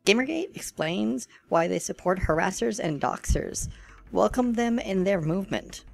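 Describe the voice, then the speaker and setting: A woman's voice: medium pitch, lively intonation, normal speed, creaky, faint music behind. A woman playing an indignant online activist in a dramatic reading, pointed and accusatory.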